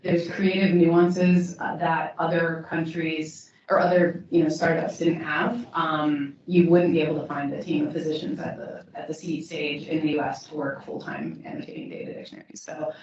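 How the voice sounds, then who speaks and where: A woman founder answering a panel question in a steady, measured way, with frequent restarts. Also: deep voice, a little hiss or hum. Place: event hall. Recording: distant mic in echoey hall, dull sound.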